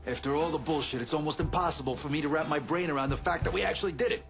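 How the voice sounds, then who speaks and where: A mobster ally in a crime game. He talks fast in a fairly high male voice, effusive and earnest in his gratitude, with light music behind him. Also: gravelly. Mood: grateful. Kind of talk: dramatic video game acting.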